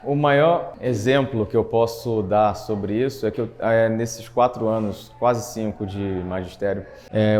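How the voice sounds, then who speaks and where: A man's voice: fairly low pitch, dark tone, slight background noise. A man with a Brazilian accent, a chef instructor giving a reflective, emphatic testimonial for a documentary in short built-up phrases.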